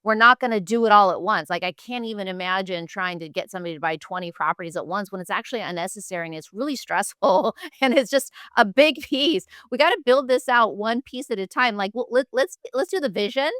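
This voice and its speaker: Female interview host: crisp, resonant mid-range voice, dry recording, informal emphatic delivery. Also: mid-range voice, fairly quick, few pauses, a bit bright, dry recording. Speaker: podcast host, real estate advisor. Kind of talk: casual conversational interview talk.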